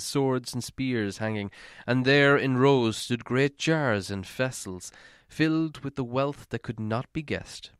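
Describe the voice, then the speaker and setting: A man reading a fantasy story aloud as dramatic narration, with theatrical, deliberate phrasing. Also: medium pitch, smooth, no room sound, studio quality. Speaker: audiobook reader.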